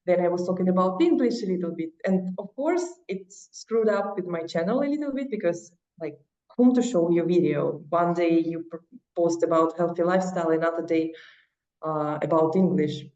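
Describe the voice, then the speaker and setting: A woman's voice: fairly low pitch, expressive, normal speed, mellow. A woman with a Russian accent, a non-native speaker, talking as an interview guest in an earnest, encouraging way. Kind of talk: casual interview talk. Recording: echoey, distant, dull lo-fi sound.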